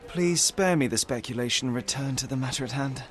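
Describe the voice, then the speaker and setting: An actor voicing a brooding, proud young detective in a detective game, formal and clipped. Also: male voice, mid-range voice, a little fast, close to an everyday voice. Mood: curt. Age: young adult. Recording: music playing behind the voice.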